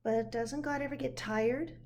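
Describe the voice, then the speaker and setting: A woman's voice: high-pitched, steady tone, unhurried, a little mellow, slight background noise. A woman voicing a curious, earnest little girl full of questions in a children's storybook. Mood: curious, wondering. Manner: inquisitive, childlike wonder. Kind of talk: children's picture book read aloud.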